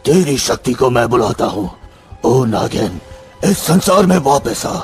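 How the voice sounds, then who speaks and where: A man voicing a wicked sorcerer in a commanding, incantatory manner for an animated story. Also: medium pitch, measured pace, soft background music. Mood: commanding, sinister. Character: wicked male sorcerer summoning a serpent spirit.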